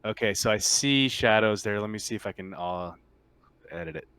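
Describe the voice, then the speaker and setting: A man speaking casually and haltingly as game master of an online tabletop roleplaying session. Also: lowish voice, fairly bright tone, clean tone, dry recording.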